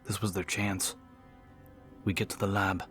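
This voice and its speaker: A man with a low voice narrates a horror audiobook. The reading is dramatic and scripted, with quiet music underneath. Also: level tone, a little slow.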